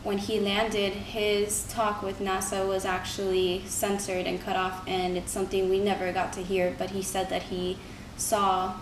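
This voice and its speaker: A woman's voice: medium pitch, completely flat delivery, a little slow, hardly any pauses. A young woman giving an earnest, informal persuasive speech, like a student presenting to a class. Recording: roomy, distant mic, noisy background.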